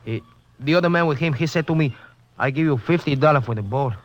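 An actor playing an evasive, nervous Mexican man in a 1950s radio drama, with halting, stammering broken English and a Mexican accent. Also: on the high side, natural voice. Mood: anxious confessing. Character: evasive, nervous Mexican man living in a poor river hut. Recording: muffled, band-limited 1950s radio broadcast.

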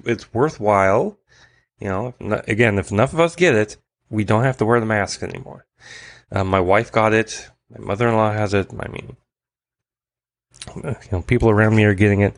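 A man's voice: on the low side, dry recording. Congested guy doing a podcast, chatty, keeps restarting, dry sound. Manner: chatty, many restarts and fillers. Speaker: podcast host. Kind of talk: casual news roundup.

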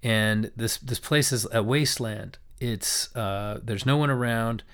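A young man with a hoarse, raspy voice from a cold, teaching a recorded scripture lesson in a loose, thoughtful way with many restarts. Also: medium pitch, a little slow, fairly bright tone.

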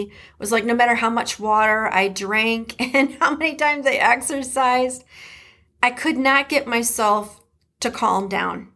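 A middle-aged woman from Detroit with a Midwest accent, hosting her podcast in a chatty, emphatic way. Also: mid-range voice. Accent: Detroit Midwest American. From Detroit, USA. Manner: warm, chatty, emphatic, with laughs and shout-outs. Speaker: podcast host. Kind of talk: casual solo podcast talk.

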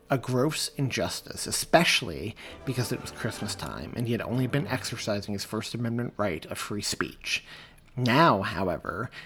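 A man reads his own novel aloud at a mid pitch, steady and measured. Soft music runs quietly beneath the narration. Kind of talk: audiobook narration.

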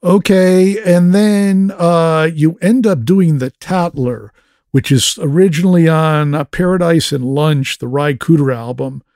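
A man's voice: on the high side, a little slow, a little mellow, no echo, studio quality. A man hosting an interview, asking brief, direct questions about records and songs. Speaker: interview host.

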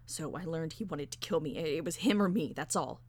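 Female reader as an exasperated woman, lowered breathy voice, urgent, grim, vengeful, dramatic story. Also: moderate pace. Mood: grim insistence. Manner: hushed, breathy, urgent, grimly insistent. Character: exasperated, vengeful woman, grimly set on killing.

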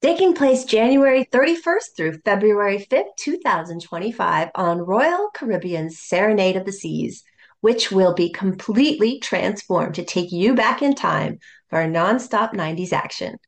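A woman's voice: medium pitch, lively intonation, normal speed. Upbeat woman reading an ad. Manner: upbeat, promotional. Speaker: ad announcer. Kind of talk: read advertisement.

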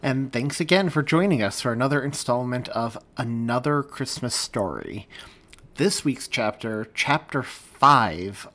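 A man speaks as a podcast host. His voice sits at a middle pitch. He delivers a casual introduction that mixes prepared lines with chatty asides. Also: fairly bright tone.

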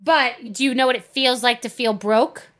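A woman's voice: fairly high pitch, steady tone, normal speed, a bit bright. A middle-aged woman hosting an interview, introducing her guest and chatting eagerly with him. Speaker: podcast host. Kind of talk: casual interview.

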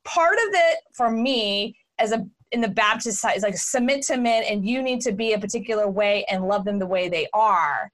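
A woman from Houston, hosting an interview in an emphatic, animated way. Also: medium pitch, normal speed, few pauses. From Houston, Texas. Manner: emphatic, animated, talks over guest. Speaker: podcast host. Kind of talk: casual interview chat.

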